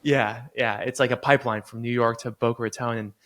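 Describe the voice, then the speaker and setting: Man, 30s, from Florida, surgeon guest, relaxed, easygoing, medium voice. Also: mid-range voice, brisk. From United States, grew up in Florida. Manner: relaxed, easygoing, laughs readily. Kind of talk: casual interview chat.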